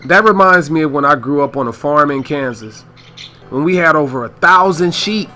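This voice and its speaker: A man's voice: fairly high pitch, normal speed, sharp tone, faint music behind. An American man playing a genial, folksy father of a young family in a radio play. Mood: folksy, fond. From United States.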